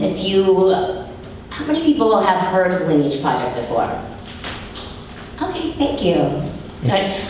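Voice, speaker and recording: A hoarse woman, a yoga and mindfulness teacher, calmly explaining her classes to a live audience. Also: lowish voice, a little hiss or hum. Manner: steady, explanatory, asks the audience questions. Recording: roomy hall mic from a distance.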